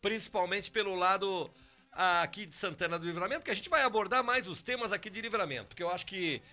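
A man hosts a morning radio show, his voice hoarse today. His tone is bright and slightly high. He chats easily and loosely, with faint music underneath. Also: quick pace. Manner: chatty, easygoing, talks over cohost. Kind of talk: casual morning show chat. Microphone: studio microphone.

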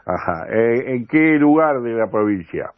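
An older man with a Uruguayan accent hosting a radio interview programme, with a halting delivery that often repeats words. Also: medium pitch, lively intonation. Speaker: radio host. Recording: tinny phone-like radio sound, roomy echo.

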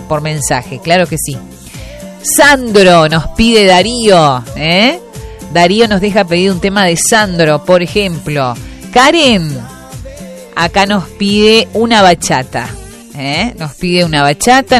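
A Uruguayan woman hosting a local radio show, chatting casually and reading listener messages with lots of fillers. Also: on the low side. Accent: Uruguayan Spanish. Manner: chatty, many fillers and restarts. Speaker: radio host.

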